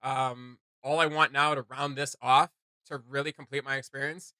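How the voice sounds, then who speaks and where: A man co-hosting a reaction video, talking in a casual, animated, rambling and playfully emphatic way. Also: medium pitch, normal speed, no echo. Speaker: co-host of a reaction video channel. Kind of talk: casual chatty reaction commentary.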